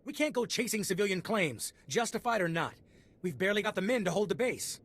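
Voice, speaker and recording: Game officer: brusque, officious military man, high-pitched for a male, dismissive. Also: animated, a little fast, creaky, fairly bright tone, full character voice. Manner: officious, curt. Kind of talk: scripted video game acting.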